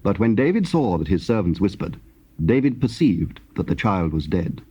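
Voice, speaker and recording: A man with a husky voice narrating scripture in a solemn, scripted style. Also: low voice, very animated, normal speed, mellow. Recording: dull, lo-fi tape sound.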